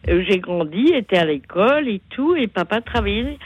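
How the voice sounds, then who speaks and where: An older woman calls in to a late-night radio show over a slightly muffled phone line. She talks slowly and haltingly, filling her pauses and circling back on her words. Her voice sits at an ordinary middle pitch. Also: animated, slightly rough, dry recording. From born in Canada, raised partly in Africa. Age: 60s. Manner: hesitant, halting, many fillers, slow and sorrowful. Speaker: caller. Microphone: telephone.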